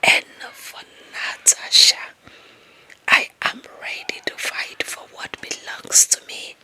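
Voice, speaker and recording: A woman with an African accent playing a possessive, lovestruck young office employee in a breathy, whispered ASMR roleplay, coaxing and fawning with slow emphasis. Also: extremely high pitch, pushed and bright, exaggerated voice. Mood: possessive, insistent.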